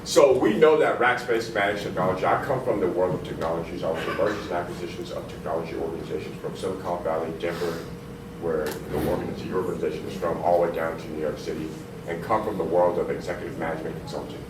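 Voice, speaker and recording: Brief: very low-voiced man from San Antonio, big forceful delivery, echoey meeting room from far off. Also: fairly even intonation, fast, noisy background. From San Antonio, Texas. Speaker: community attendee speaking up. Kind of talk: town hall comments. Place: town hall meeting room.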